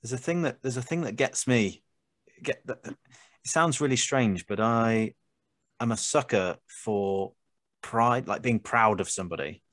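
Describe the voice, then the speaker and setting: A man's voice: medium pitch, measured pace, fairly bright tone, no room sound. A British man hosting a casual conversational interview, talking earnestly and rambling a little as he thinks. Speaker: podcast host.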